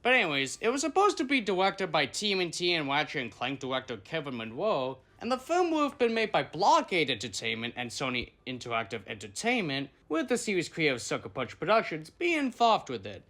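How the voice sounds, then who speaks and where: Male guest commentator, bright and lively-pitched, casual explainer narration. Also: fairly quick, few pauses.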